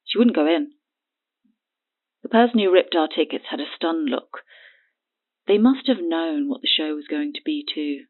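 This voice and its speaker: A woman's voice: deep voice, expressive, normal speed, long pauses. Haughty, needling woman on the phone. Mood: scornful, recounting. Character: Birdie, cagey mocking woman selling her testimony. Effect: phone line filter. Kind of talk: naturalistic audio drama acting. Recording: tinny narrow phone line.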